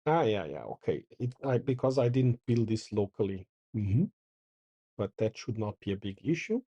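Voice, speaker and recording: A middle-aged man hosts a casual coding session, reading out commands and thinking aloud as he works. His voice is very dark and a little husky, with a lively, rising and falling pitch. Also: moderate pace, highs cut off. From Porto, Portugal. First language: Portuguese. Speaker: channel host. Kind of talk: casual live coding commentary.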